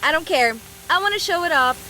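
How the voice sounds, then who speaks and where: A woman's voice: extremely high pitch, animated, brisk, heavy background noise. A woman playing a playful, affectionate, brash young woman soldier in a video game voice drama, with a casual teasing manner.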